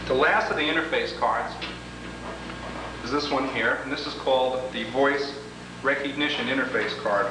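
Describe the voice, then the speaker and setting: A man giving an instructional product demo, explaining each step in a deliberate, methodical way. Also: medium pitch. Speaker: product demonstrator. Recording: roomy, echoing, noisy 1980s demo tape, distant mic.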